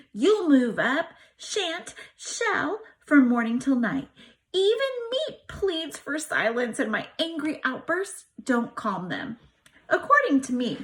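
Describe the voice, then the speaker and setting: A woman reading a diary aloud to students as a teacher, with expressive, emphatic storytelling phrasing. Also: high-pitched. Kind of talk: animated read-aloud of a diary book.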